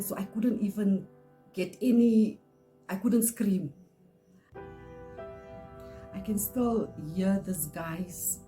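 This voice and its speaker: A middle-aged woman from South Africa, Afrikaans-speaking, with a South African accent, telling a personal story in earnest, pausing often. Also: lowish voice, rough recording. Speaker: channel host. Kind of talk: personal testimony to camera.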